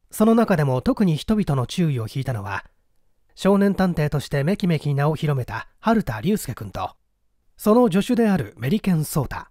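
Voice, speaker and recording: A man's voice: on the high side, a little mellow, no echo, clean studio sound. A man reading a story aloud as a dramatic scripted narration. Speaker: audiobook reader.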